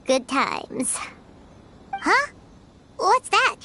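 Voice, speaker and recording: A woman voicing a small, childlike fairy companion in a video game, squeaky, curious and given to exclaiming. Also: sing-song, extremely slow, sharp tone, slight background noise, exaggerated voice. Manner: exclamatory, inquisitive.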